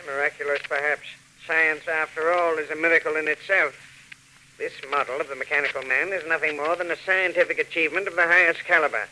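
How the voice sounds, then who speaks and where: An actor playing a shrewd, mercenary villain in a 1940s radio drama, speaking in a precise, theatrical, imperious way. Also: male voice, fairly high pitch, fairly even intonation, a little fast, sharp tone. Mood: proud, showy. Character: shrewd, mercenary male villain selling a stolen robot. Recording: noisy old 1940s radio recording.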